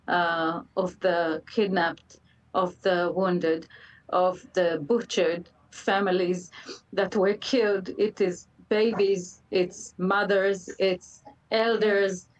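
A middle-aged woman with an Israeli accent speaks haltingly and earnestly in an interview, repeating words for emphasis. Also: medium pitch, recorded from a distance, low-quality recording. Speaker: interview guest, mother of a hostage. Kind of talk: emotional live TV interview. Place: indoor room, live remote video link. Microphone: computer video call.

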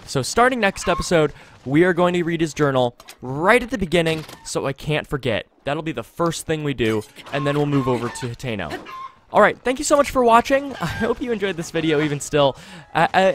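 A man's voice: on the high side, fairly bright tone. A man hosting a gaming video with chatty, wry, self-deprecating casual commentary, speaking close to a desktop microphone. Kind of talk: casual let's-play commentary. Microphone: close desktop USB microphone. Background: game music.

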